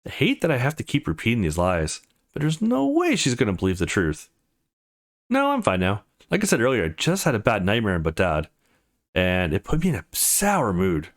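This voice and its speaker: A man's voice: on the low side, wildly swooping pitch, normal speed. A man voicing an awkward, guarded eighteen-year-old male student from a visual novel, earnest and a little hesitant.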